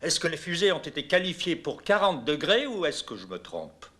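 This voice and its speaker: A man's voice: a little high, sing-song, moderate pace, sharp tone. A man acting a businesslike, probing official on a teleconference in a dubbed docudrama, formal and questioning.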